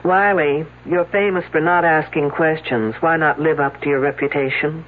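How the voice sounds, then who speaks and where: A man's voice: a little high, fairly even intonation, moderate pace, lightly acted. An actor playing a dry, sarcastic male detective on a radio drama. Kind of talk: 1970s radio drama acting. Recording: tinny old radio broadcast.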